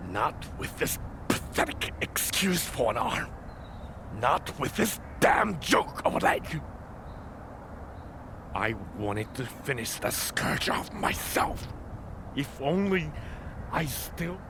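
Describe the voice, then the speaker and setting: An actor playing a proud, wounded samurai general burdened by guilt, speaking earnestly and dramatically in a video game cutscene. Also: male voice, medium pitch, very animated, slow, pauses often. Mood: anguished. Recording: clear background noise.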